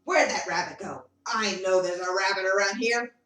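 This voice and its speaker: A woman voicing a sly male fox in a storybook, gruff and suspicious, in an echoey room from a distance. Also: medium pitch, moderate pace, bright, forceful tone, pitched below the performer's own voice, close to an everyday voice. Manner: gruff, suspicious, sniffing around. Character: small sly male fox hunting a rabbit.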